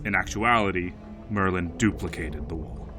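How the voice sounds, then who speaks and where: A man's voice: deep voice, moderate pace, close to an everyday voice. An actor playing a scheming, pompous fictional man plotting revenge in an audio drama, with a grandiose, wordy, theatrical delivery. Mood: grandiose, vengeful.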